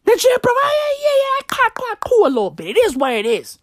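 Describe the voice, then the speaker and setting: A woman's voice: extremely high pitch, a little fast, no echo, clean studio sound. A woman from southern Africa, a native Tswana speaker, doing a rambling, profane comic rant full of slang. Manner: profane, rambling, animated slang-heavy delivery. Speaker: comedian. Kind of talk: stand-up style comedic rant.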